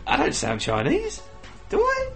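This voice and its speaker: A man's voice: high voice, wildly swooping pitch, a little slow, gravelly, fairly bright tone. An English man co-hosting a podcast with loose, mumbled delivery. He leans on slang and swears freely. Faint music sits behind him. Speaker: podcast co-host. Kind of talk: casual banter.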